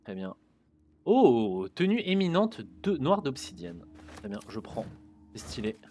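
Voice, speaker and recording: A man's voice: medium pitch, sing-song, unhurried, dark tone, slight background noise. A man streaming a video game with casual, chatty commentary, muttering and swearing as he plays. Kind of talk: casual let's-play commentary.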